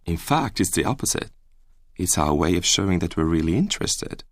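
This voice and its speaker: A man with an Italian accent giving a clearly spoken scripted monologue for a language-course listening exercise. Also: low voice, very animated, brisk, no echo, clean studio sound. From Italy.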